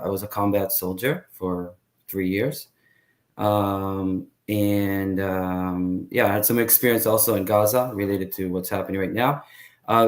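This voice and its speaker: A man speaks as a guest over a remote call. His voice sits fairly low, and he talks in a halting way, full of ums and restarts. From Israel. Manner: hesitant, many fillers. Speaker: guest, tour guide. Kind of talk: casual interview talk.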